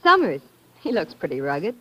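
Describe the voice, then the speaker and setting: An actress playing a brisk, dutiful secretary in an old-time radio drama. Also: female voice, lowish voice, wildly swooping pitch, husky, dull audio, heavily acted. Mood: brisk, helpful. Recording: vintage radio drama recording.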